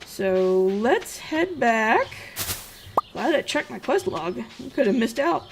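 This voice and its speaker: A woman's voice: a little high, sing-song, slow pace, vocal fry, slight background noise. A woman doing casual let's play commentary with a blunt, sarcastic manner. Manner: sarcastic, blunt, salty-mouthed. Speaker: gaming video host.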